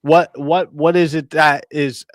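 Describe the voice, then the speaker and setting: A man hosting a live online debate, blunt and profane, who often restarts his sentences. His voice sits a little high, and the sound is dry with no room echo. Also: flat delivery, unhurried. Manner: profane, blunt, repeats himself. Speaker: debate stream host. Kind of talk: heated casual debate.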